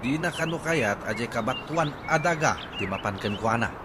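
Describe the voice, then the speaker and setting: A man's voice: medium pitch, animated, moderate pace, lightly acted. A man with an Ilocano accent playing a put-upon, plain-spoken husband in a radio comedy drama. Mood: resigned. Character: put-upon husband reporting his siblings' inheritance demands. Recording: noisy outdoor ambience with birdsong.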